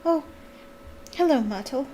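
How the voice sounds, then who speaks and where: A woman voicing an earnest, anxious, know-it-all schoolgirl in a storybook, brisk and eager to please. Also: sits high, animated, dark tone, slight background noise, voice pushed up, poor audio. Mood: frantic.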